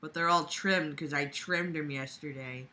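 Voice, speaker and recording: Sarcastic young woman with a bright voice. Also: sits low, moderate pace. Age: young adult. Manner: sarcastic, exasperated, blunt and sweary. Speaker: vlogger reading a book aloud with commentary. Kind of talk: casual reading with mocking commentary. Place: small room at home, lying on a bed.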